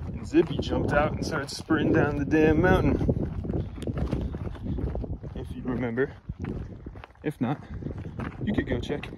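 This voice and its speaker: A young man from Florida, chatting casually to his phone camera while hiking a forest trail, upbeat and filling pauses with "uh". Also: medium pitch. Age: young adult. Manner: upbeat, chatty, thinks aloud with fillers. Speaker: hiker vlogger. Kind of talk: casual vlog narration. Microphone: phone at arm's length. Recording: faint outdoor noise.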